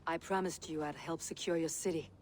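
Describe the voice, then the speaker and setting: Woman playing a terse, steady Viking warrior woman. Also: low voice, a little fast, a little hiss or hum, lightly acted. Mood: calm, resolute. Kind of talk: video game dramatic acting.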